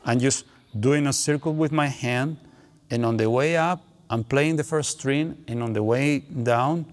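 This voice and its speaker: Guitar teacher, thirties, middle pitch, casual explainer; long reverb, guitar playing behind. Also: male voice, a little slow. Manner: relaxed, chatty, frequent "okay" and "you know" tags. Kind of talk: instructional explanation between playing. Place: dark studio, seated with guitar on lap.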